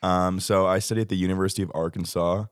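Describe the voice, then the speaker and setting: A man in his twenties with a Texan American accent, talking casually as a guest about student life, relaxed and rambling with lots of "like" and "um". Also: deep voice, fast, clean tone, no room sound, studio quality. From United States. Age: 20s. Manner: relaxed, rambling with many likes and ums. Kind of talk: casual interview chat.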